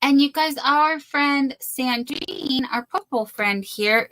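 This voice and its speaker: A woman's voice: on the high side, a bit bright. A woman hosting a casual crochet live stream from the United States, bubbly and chatty, thanking viewers by name.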